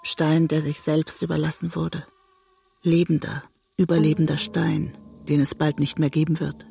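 An actress playing a guilt-ridden, disillusioned wife and writer, narrating introspectively in a literary radio drama. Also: female voice, low voice, little pitch movement, gravelly, warm tone, faint music behind. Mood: bleak, estranged. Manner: introspective, measured storytelling. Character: disillusioned, guilt-ridden woman writer trapped in a bitter marriage.

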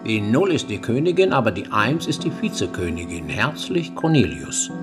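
An actor in a German radio drama playing an imperious, eccentric English gentleman collector with a pompous, commanding manner. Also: lowish voice, big pitch swings, normal speed, music in the background. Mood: pronouncing. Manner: commanding, grandiloquent.

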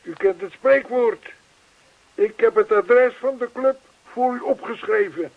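A man's voice: very high-pitched, a little slow, creaky, dry recording, full character voice. A man playing an earnest, chatty older neighbour in a radio play, persistent, reassuring and long-winded. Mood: eager, persistent. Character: earnest, chatty older male neighbour zealous about yoga. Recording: thin, phone-like old radio play recording.

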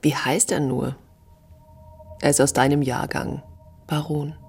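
An actress playing a coarse, teasing village prostitute in a radio drama, with a husky, drawling, chuckling delivery. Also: female voice, low voice, little pitch movement, unhurried, a little mellow, soft background music. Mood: mocking, amused. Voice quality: husky, breathy. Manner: drawling, taunting, breaks into chuckles and half-whispers.

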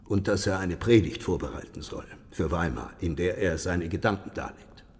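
A man acting a weary, haughty ruler in a historical radio drama. His low voice rings in a long reverberant hall, measured, commanding and full of condescension. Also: darkish tone, natural voice. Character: haughty, weary, sardonic ruling prince.

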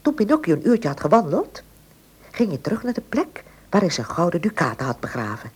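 A woman narrating a children's fairy tale as a storybook narrator, expressive and dramatic. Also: lowish voice, brisk, husky, heavily acted. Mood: storytelling. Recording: faint cassette tape noise.

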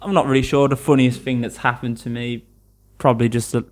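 A man's voice: mid-range voice, fairly even intonation, fairly quick. A young man from England, an English teacher, chatting casually in an interview, laughing easily and restarting his sentences.

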